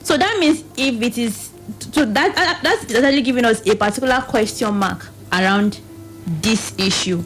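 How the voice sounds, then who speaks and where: A woman's voice: fairly high pitch, lively intonation, moderate pace, fairly bright tone, faint music behind. A woman with a Nigerian accent explaining as a guest in a radio interview, somewhat hesitant. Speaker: reproductive health advocate, studio guest.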